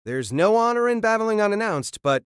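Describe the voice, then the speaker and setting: A man's voice: high-pitched, sing-song, normal speed, clear, resonant tone, no echo, studio quality. A man playing a proud, chivalrous spear-wielding warrior spirit in a formal, dramatic manner. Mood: confident, combative.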